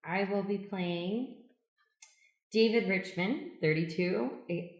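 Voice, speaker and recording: A woman's voice: mid-range voice, takes it slowly. A woman, an improv actor, casually introducing herself on a podcast. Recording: roomy, echoing room sound.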